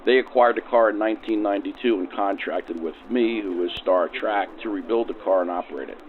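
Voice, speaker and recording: A man with a fairly low voice explains things in an interview as a rail car restorer. The sound is thin, like an old radio. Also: a little fast, narrow, tinny audio. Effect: vintage radio filter. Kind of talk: informative interview answers.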